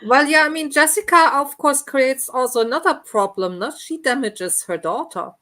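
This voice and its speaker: A woman's voice: high-pitched, normal speed, sharp tone. Female host of a casual book discussion.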